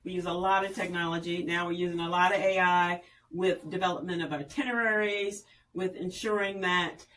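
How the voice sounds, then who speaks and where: A woman's voice: fairly low pitch, fairly even intonation, normal speed, a bit bright, far from the mic, slight background noise, low-quality recording. A woman from Virginia, a tourism official, speaking in a polished, promotional way as a guest on a radio interview.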